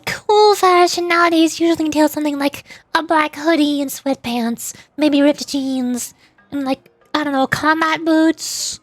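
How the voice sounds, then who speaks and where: A woman voicing a brash, scolding young granny from a video game, brisk, teasing and casual. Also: high-pitched, fairly even intonation, dry recording, faint music behind.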